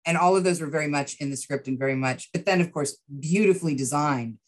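Middle-aged female writer with a low-pitched voice, conversational interview guest on a video call. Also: fast talker. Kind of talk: casual interview chat. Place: indoor room with bookshelves. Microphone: video call microphone.

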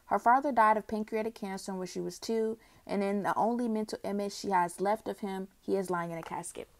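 A young woman narrates a biography for her channel at a mid pitch, reading steadily and now and then restarting a phrase. The sound is dry, with no room echo. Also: fairly even intonation, fairly quick. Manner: reads steadily, with small restarts. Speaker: YouTube host. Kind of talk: read-aloud biography narration.

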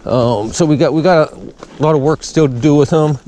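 A man who trains horses, talking calmly with many fillers while riding on a wooded outdoor trail, recorded on a head-mounted action camera. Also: a little high, moderate pace, a little hiss or hum, low-quality recording. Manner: calm, plain-spoken, frequent fillers.

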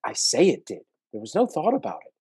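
Radio play casting: stubborn old soldier dad, mellow tone, animated delivery, gently wry. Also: male voice, mid-range voice, fairly quick, slightly rough, exaggerated voice. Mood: earnest, uneasy.

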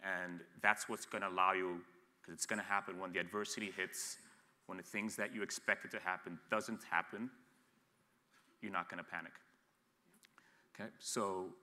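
A man's voice: low voice, fairly even intonation, moderate pace, long pauses, sharp tone, big-room sound. A man in his thirties who grew up in Ethiopia, speaking frankly as an entrepreneur on a panel, with frequent restarts. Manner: blunt, frank, with frequent restarts and repeated words. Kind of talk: candid panel talk. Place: studio stage set, seated in an armchair.

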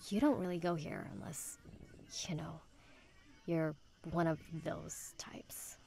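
A woman playing a blunt, sarcastic teenage girl in a visual novel game, with a deadpan, sardonic delivery. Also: deep voice, slow, creaky, full character voice. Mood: blunt, dismissive.

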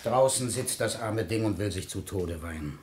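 A man's voice: fairly low pitch, expressive, moderate pace, darkish tone, lightly acted. An actor playing a humble, tender-hearted middle-aged musician and father in a 1950s German radio play, speaking earnestly and deferentially. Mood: kindly, deferential. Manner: earnest, plain-spoken, deferential. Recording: noisy vintage 1950s radio recording.

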